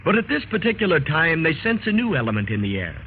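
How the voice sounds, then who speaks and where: A man's voice: on the high side, big pitch swings, fast. A man playing a grave, formal announcer who introduces an adventurers' club in a 1930s radio drama style. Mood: solemn, mysterious. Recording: scratchy, phone-like old radio recording, noisy.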